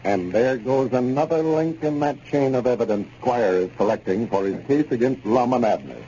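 An American man announcing a 1930s radio program in a formal, measured, scripted style. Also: mid-range voice, dark tone. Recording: muffled, hissy 1930s radio recording.

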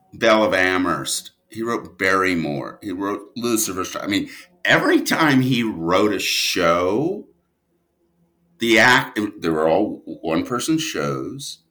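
A man speaking as an interview guest, a writer telling stories conversationally in an animated but halting manner. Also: low voice. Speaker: guest, audio drama writer and producer.

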